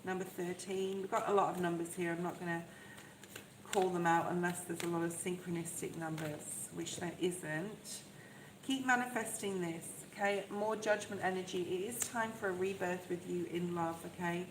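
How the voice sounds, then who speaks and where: Soft-voiced woman reading tarot. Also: lowish voice, steady tone, normal speed. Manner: chatty, reassuring, frequent "okay?" check-ins. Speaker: tarot reader. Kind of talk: live-stream tarot reading. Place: outdoors in the rain. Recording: echoey, with loud rain noise. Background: rain noise.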